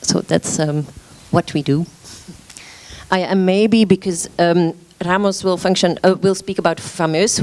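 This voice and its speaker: A woman gives a conference presentation in a fairly low voice. She speaks hesitantly, pausing often with filler sounds and restarting her sentences. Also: darkish tone. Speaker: arts organization representative presenting at a meeting.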